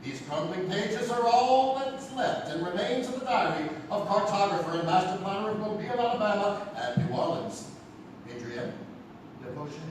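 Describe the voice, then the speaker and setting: Echoey, distant radio-play part: actor as ominous archivist, male, high voice, deliberate storyteller. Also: lively intonation. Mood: ominous, welcoming. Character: theatrical older French keeper of secret city records. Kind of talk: live radio drama storytelling. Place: live theatre stage. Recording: echoey theatre, far mic, noisy.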